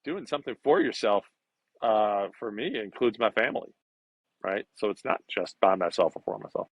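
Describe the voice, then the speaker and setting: A man in his fifties talking as an interview guest, in a halting conversational way with repeated words. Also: fairly low pitch, lively intonation, no echo. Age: 50s. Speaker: podcast guest. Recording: dull lo-fi call audio.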